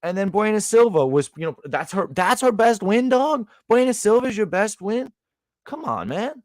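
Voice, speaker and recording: A man co-hosting a podcast, breaking down fight betting lines in an animated, slangy way. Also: high-pitched, normal speed, no echo, studio quality. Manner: animated, slangy, calls people dog. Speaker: podcast co-host, betting analyst. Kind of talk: casual sports betting chat.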